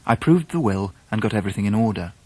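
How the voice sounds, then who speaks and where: An actor playing a genteel Victorian gentleman who narrates his youth in a radio drama, with an English accent and a measured, wry, literary manner. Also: lowish voice, expressive, slightly rough, no echo, rough recording. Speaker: narrator in character.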